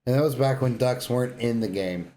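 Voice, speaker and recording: A man's voice: medium pitch, fairly quick, dark tone. A man co-hosting a casual gaming podcast, talking bluntly. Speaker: podcast co-host.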